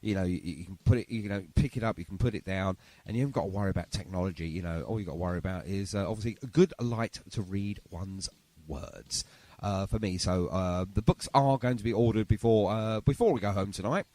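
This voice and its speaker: A man's voice: low voice, fast talker, darkish tone. A British man hosting a podcast in a chatty, informal interview style. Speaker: podcast host. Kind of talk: casual interview chat.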